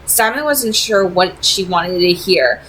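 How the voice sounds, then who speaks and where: A woman's voice: lowish voice, animated, a little slow, a bit bright, slight background noise, rough recording. A woman narrating fiction as an amateur book reader. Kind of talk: read-aloud fiction narration.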